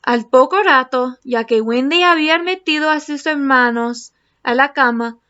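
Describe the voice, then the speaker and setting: A woman teacher reading a children's storybook aloud to students, expressively and deliberately. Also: sits high, pushed and bright, clear, resonant tone, highs cut off. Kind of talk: children's storybook read-aloud in Spanish and English.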